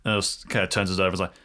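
A man casually improvising narration as a player in an audio drama, halting and full of filler words. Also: low-pitched, sharp tone.

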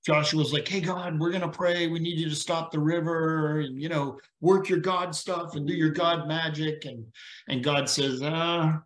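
A man's voice: fairly high pitch, steady tone, moderate pace. A middle-aged man, a retired police officer, speaking as a podcast guest over a video call, telling stories in an earnest, preachy way. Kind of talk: casual conversation. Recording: roomy echo on a video call.

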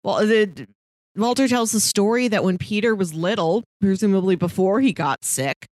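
A woman's voice: medium pitch, normal speed, a little mellow, no room sound. A woman co-hosting a casual TV discussion, telling things in an informal, wry way. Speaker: podcast co-host.

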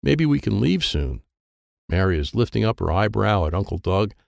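A man's voice: lowish voice, very animated, normal speed, vocal fry, darkish tone, no room sound, studio quality. A man reading a children's audiobook in short, simple, childlike sentences. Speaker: audiobook narrator.

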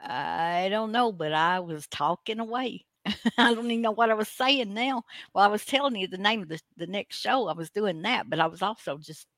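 A woman's voice: medium pitch, normal speed, fairly bright tone, dry recording, studio quality. A woman hosting an online radio show, bantering casually in a joking, self-mocking way.

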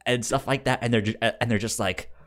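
Man chatting haltingly, repeating syllables. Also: mid-range voice, fairly even intonation, a bit bright. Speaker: film podcast co-host. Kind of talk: casual film discussion.

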